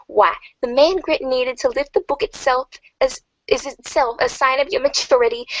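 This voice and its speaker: A woman's voice: high-pitched, no echo, rough recording. A woman voicing a doting old grandmother, formally reading out a heartfelt letter in a dramatic reading.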